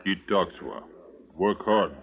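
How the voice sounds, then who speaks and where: An actor playing an accused captive in a 1950s radio western, very terse, in halting broken English. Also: male voice, low voice, phone-quality sound, noisy background. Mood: guarded, pleading. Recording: thin old radio recording, crowd behind.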